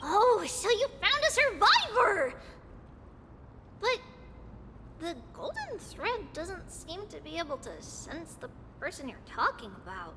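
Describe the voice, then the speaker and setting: A woman voicing a tiny, cheerful, excitable childlike girl in a video game. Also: very high voice, slow pace, big-room sound, background noise, exaggerated voice. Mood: excited, cheerful. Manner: excitable, refers to self by name.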